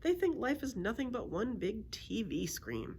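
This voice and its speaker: A woman's voice: on the high side, sing-song, measured pace, a little mellow, slight background noise, pitched above the performer's own voice. A woman voicing a cynical male fruit seller who complains emphatically, in a children's story read aloud. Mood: grumbling.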